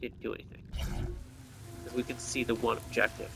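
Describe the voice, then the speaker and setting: A man's voice: mid-range voice, completely flat delivery, a little fast, creaky, music in the background. A man giving casual gameplay commentary, thinking aloud and explaining each step to viewers. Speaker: video game player and commentator.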